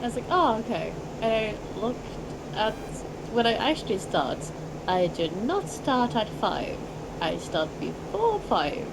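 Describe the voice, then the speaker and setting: A woman's voice: on the high side, big pitch swings, measured pace. A groggy woman who just woke up, chatting and telling a self-mocking story during a game stream. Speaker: stream guest. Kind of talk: casual gaming chat. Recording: noisy voice chat audio.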